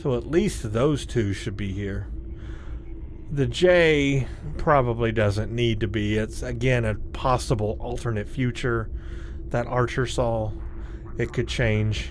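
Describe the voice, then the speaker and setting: Man hosting game tour, noisy background. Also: fairly low pitch. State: voice tired, starting to give out. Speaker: gaming video host. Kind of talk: casual game tour commentary, reading on-screen text aloud.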